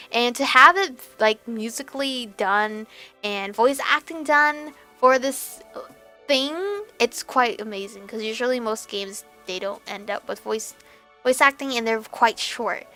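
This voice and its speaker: Bright, high-voiced young woman, chatty gamer. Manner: giggly, chatty. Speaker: gaming video host. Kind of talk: casual let's play commentary, reading lines aloud. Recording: music behind the voice. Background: game music.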